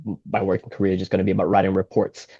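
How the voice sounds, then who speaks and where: Low-voiced man with an American accent. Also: rapid-fire, breathy edge, no echo, lo-fi sound. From Singapore. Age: 30s. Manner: relaxed, rambling, frequent fillers and restarts. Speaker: guest, golf shop owner. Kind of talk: casual interview chat.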